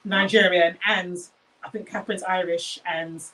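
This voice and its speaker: A woman in her thirties, co-hosting a reality show reaction chat, animated and argumentative, often talking over others. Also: fairly low pitch, moderate pace, distant mic, poor audio. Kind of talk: casual chat. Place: small indoor studio with a tropical beach backdrop.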